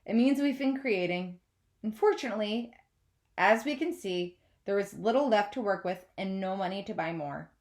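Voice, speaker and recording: A woman's voice: mid-range voice, moderate pace, darkish tone, close to an everyday voice. A woman voicing an earnest, encouraging male art teacher explaining things to a class, in a storybook read-aloud. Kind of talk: children's novel read-aloud.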